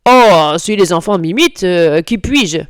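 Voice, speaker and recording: A woman's voice: lowish voice, lively intonation, a little slow, smooth, no room sound, clean studio sound. A woman voicing a carefree male alley cat in a storybook, speaking in a breezy, offhand way. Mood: shrugging.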